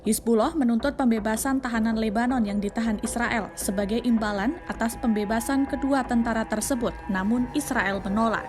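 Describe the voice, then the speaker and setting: A woman with an Indonesian accent reads a scripted news explainer in a formal, measured manner. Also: fairly high pitch, fairly even intonation. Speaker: news narrator. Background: background music.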